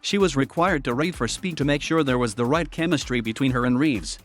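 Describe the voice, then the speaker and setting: A man reading a scripted biography narration in an even, flat manner. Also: medium pitch, fairly quick. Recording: faint music underneath.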